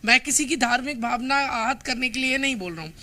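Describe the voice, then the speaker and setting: An Indian man reports live for TV news in a very high, sharp and forceful voice. He comments emphatically as he goes, giving opinions alongside the facts. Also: a little fast.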